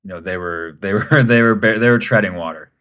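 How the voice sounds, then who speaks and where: A man's voice: fairly low pitch, fairly bright tone. A man chatting about soccer as a panelist, rambling and joking. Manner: fast, rambling, joking asides. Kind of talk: casual chat.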